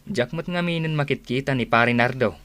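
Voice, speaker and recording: A man's voice: medium pitch, normal speed, fairly bright tone, natural voice. Guy acting pushy village husband after a job, mid voice, ilocano accent, radio drama, keeps asking. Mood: questioning. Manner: questioning, insistent. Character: inquisitive, pushy village husband seeking work.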